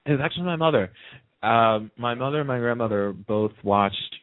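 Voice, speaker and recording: A man's voice: mid-range voice, expressive, moderate pace, dry recording. A man chatting casually as a guest in a radio interview, telling stories in a talkative way. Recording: narrow, tinny phone-line sound.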